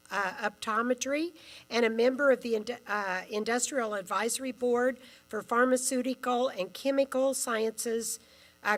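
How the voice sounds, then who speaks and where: A woman formally introducing a speaker at a university event, measured and with small hesitations. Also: on the high side.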